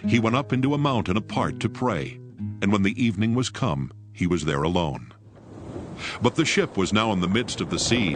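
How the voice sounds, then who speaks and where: A man narrates scripture in a measured, solemn way, his voice low and lively in intonation. Music plays steadily beneath him. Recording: music bed behind voice.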